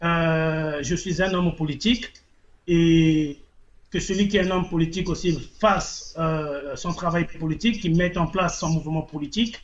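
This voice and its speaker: A man's voice: a little high, steady tone, moderate pace, lo-fi sound. A man with a Congolese French accent, a political activist answering an interviewer, repeating his phrases for emphasis. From Republic of the Congo.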